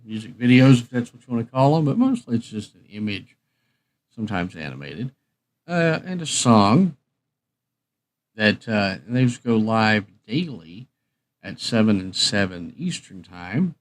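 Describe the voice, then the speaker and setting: Male livestream host, casual chat, rambling asides, slightly low pitch, animated intonation. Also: a little slow. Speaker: streamer and host of a music channel.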